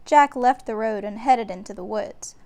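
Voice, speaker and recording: A woman reading a children's bedtime story aloud in a deliberate, expressive way. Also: mid-range voice. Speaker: storybook reader.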